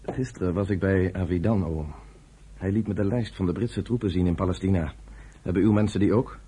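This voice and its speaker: Earnest, low-voiced young man. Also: fairly quick, mellow, breathy edge. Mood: respectful. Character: earnest, self-assured young man of the underground in Palestine. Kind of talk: Dutch radio play acting.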